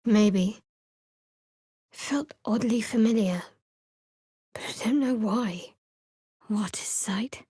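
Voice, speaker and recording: A woman's voice: fairly high pitch, takes it slowly, pauses often, dark tone, breathy edge, dry recording. A woman playing a guarded, sardonic young female cleric in a video game, with a dry, aloof, clipped delivery. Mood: wistful, troubled. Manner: dry, aloof, clipped sarcasm with earnest undertones. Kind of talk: video game character voice acting.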